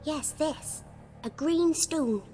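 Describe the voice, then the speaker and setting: A woman's voice: sits high, drawn-out words, warm tone, slight background noise. A woman voicing an earnest little village girl in a fantasy game, speaking simply and candidly. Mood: wistful, earnest. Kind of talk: video game voice acting.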